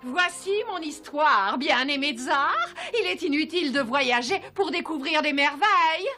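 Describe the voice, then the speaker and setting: A woman's voice: high-pitched, unhurried, bright, forceful tone, soft background music. An actress playing a scheming, jealous court woman who spins tales theatrically in a dubbed fairy-tale cartoon. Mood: sly storytelling. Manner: theatrical, storytelling.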